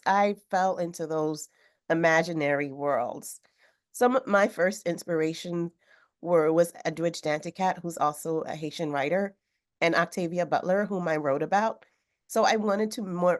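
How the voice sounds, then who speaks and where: A woman author speaking on a video call, a little hoarse from a cold, talking thoughtfully with some fillers. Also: lowish voice. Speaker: author guest. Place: home room with sewing machine. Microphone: video call webcam.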